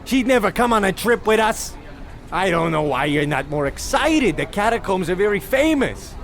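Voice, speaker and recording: A man's voice: high-pitched, very animated, normal speed, some chatter behind. An actor playing a pompous, oblivious husband in a comedic radio play, blustering theatrically at his wife. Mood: dismissive, pompous. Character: pompous, oblivious, bickering American husband on holiday.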